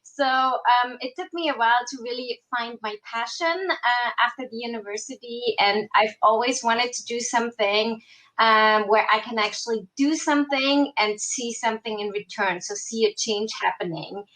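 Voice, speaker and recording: Earnest, higher-voiced woman panelist. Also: moderate pace, fairly bright tone, poor audio. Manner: earnest, with frequent ums. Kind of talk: casual panel talk. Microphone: video call.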